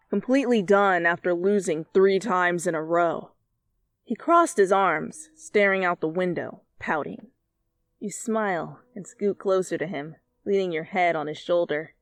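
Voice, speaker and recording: A woman's voice: lowish voice, unhurried, no room sound. A woman narrating fan fiction as a dramatic storyteller in an ASMR style.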